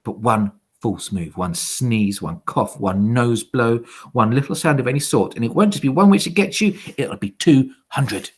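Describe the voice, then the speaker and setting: A man's voice: medium pitch, animated, a little slow, few pauses, slightly rough. A man voicing a frightened small boy in a children's storybook, warning himself in tense, urgent tones.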